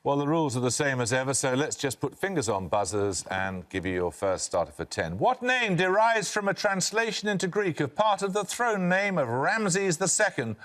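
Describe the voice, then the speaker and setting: Brisk older man hosting a quiz. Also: fairly high pitch, very animated, a bit bright, a little hiss or hum. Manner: crisp, brisk quizmaster delivery. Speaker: quiz show host. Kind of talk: reading quiz questions and introductions.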